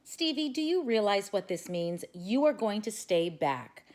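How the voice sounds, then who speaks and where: A woman's voice: mid-range voice, very animated, unhurried, a little mellow. A woman voicing a strict female schoolteacher who scolds firmly. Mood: stern. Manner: firm, scolding.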